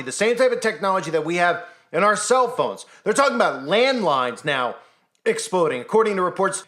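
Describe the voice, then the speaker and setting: A man giving a solo commentary monologue, animated and skeptical, piling up rhetorical questions. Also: high-pitched. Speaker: commentary channel host.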